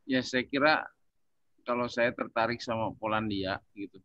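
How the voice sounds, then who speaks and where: A man talking casually in a discussion, haltingly searching for words as he thinks aloud. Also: medium pitch, pushed and bright, no echo, highs cut off. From Indonesia. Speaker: panelist.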